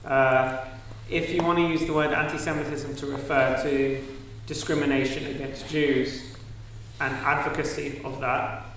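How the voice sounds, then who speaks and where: Panelist, monotone and careful, recorded from afar in a big reverberant hall. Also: male voice, mid-range voice, a little slow. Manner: careful, hedged phrasing with fillers. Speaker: panel speaker. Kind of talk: debate panel answer. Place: large university auditorium. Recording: echoey hall, far from the mic, faint hiss.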